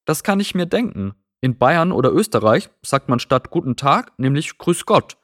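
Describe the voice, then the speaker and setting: A man's voice: mid-range voice, very animated, moderate pace, fairly bright tone. An actor playing a young man who explains greetings in a scripted course dialogue, in a knowing, explaining way.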